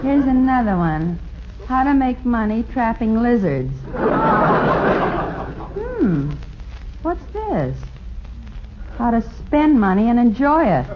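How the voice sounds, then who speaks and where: A woman's voice: on the high side, sing-song, slow pace, pauses often, over a noisy crowd. An actress playing a practical, level-headed woman in a 1950s radio comedy, with dry, mock-earnest delivery. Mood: musing. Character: level-headed female companion and mock-earnest storyteller nurse. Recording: muffled, noisy 1950s radio recording.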